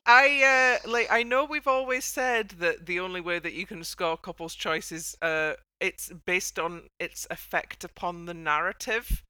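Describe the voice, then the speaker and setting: Bright, clear-voiced woman podcast host. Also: fairly low pitch, a little slow, few pauses, no echo. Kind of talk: casual discussion of a TV dance show.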